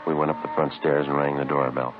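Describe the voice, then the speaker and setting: A terse, deadpan homicide detective, speaks very low and quickly. He is matter-of-fact in vintage radio crime-drama style, on a muffled recording. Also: noisy background. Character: terse, deadpan homicide police detective narrating his case. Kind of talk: 1950s radio drama narration.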